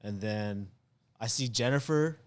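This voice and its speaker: A man's voice: fairly low pitch, unhurried. A man in his thirties chatting casually as a podcast guest, often repeating short affirmations. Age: 30s. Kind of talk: casual chat.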